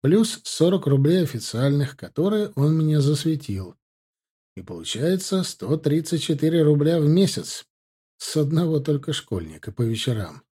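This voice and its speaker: A man's voice: fairly high pitch, very animated, normal speed, warm tone, husky. A man reading a first-person story aloud as scripted audiobook narration. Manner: acts out dialogue with lifted, livelier delivery.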